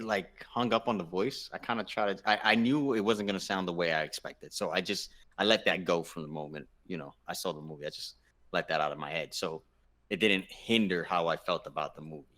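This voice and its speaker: A man's voice: low voice, moderate pace, fairly bright tone. A man co-hosting a gaming show, talking casually and emphatically with his fellow panelists, often repeating himself for stress.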